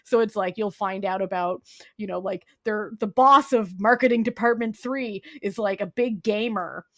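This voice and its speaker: A woman's voice: mid-range voice, moderate pace, a bit bright. A young woman co-hosting a podcast, chatting casually about a comedy show she is enjoying, rambling and full of fillers. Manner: rambling, full of "like", breaks into laughter. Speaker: podcast co-host. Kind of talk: casual chat recommending shows.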